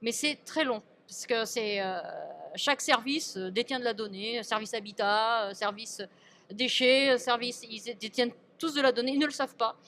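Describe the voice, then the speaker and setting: Woman, elected official answering interview questions. Slightly high voice, halting and repetitive, recorded over background noise. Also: a bit bright. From France. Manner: halting, with many repeated words and self-interruptions.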